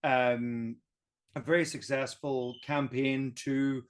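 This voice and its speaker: A young Irish man chatting on a history podcast, animated and emphatic, thinking aloud as he goes. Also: medium pitch, slow. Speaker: guest history video creator. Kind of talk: casual roundtable podcast chat.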